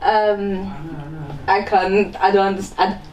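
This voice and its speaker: A young woman from Ghana answers interview questions in a relaxed, chatty manner. She sounds a little distant from the mic, with faint noise behind her. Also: medium pitch, slow pace, lo-fi sound. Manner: relaxed, conversational, with fillers like "obviously". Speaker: interview guest, nurse.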